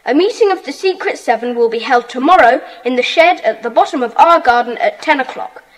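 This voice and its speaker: A very high-voiced schoolboy in a children's audio drama. He is bossy, brisk and earnest, running his little club with lively swoops in pitch. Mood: earnest, organising. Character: bossy, eager schoolboy leader of a children's secret society.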